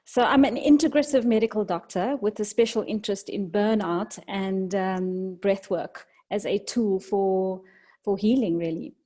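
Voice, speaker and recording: Mellow-voiced South African woman, thoughtful. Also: medium pitch, unhurried. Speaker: podcast guest, medical doctor. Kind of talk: reflective conversational interview.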